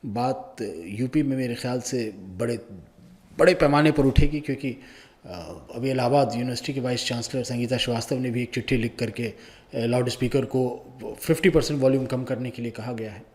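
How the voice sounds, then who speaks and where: A man presenting news commentary in an informal, opinionated way, often pausing mid-sentence. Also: medium pitch, steady tone, darkish tone.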